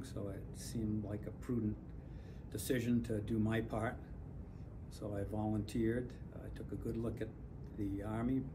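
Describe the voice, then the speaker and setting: Older man, low flat voice. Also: background noise. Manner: measured, reflective, pauses with fillers. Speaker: retired airline captain. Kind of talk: community testimonial.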